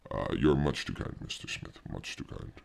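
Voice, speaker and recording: A man's voice: very deep voice, lively intonation, normal speed, darkish tone, exaggerated voice. A man playing a frail, courteous old psychic researcher with bad lungs, hesitant and formal, in an old-time radio drama style. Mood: courteous, modest. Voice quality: gravelly, with a chesty cough.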